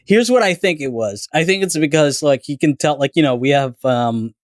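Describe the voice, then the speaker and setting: A man's voice: on the high side, lively intonation, brisk, no echo, clean studio sound. A man born in Canada telling stories in a casual podcast chat, rambling with many fillers and restarts. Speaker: guest storyteller.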